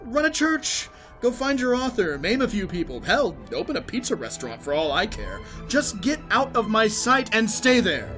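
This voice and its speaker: Man acting shrewd, sardonic schemer, high animated voice. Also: faint music behind, full character voice. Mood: curt, dismissive. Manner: polite, measured, sardonic. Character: shrewd, coolly ruthless schemer seizing a faction's leadership. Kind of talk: audio drama acting.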